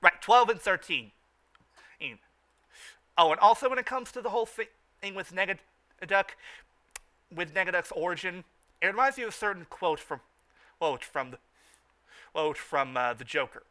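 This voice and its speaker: A man's voice: high-pitched, lively intonation, moderate pace, long pauses, sharp tone. A man hosting a casual fan show, stammering and often repeating his phrases as he comments. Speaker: host of a fan riffing show.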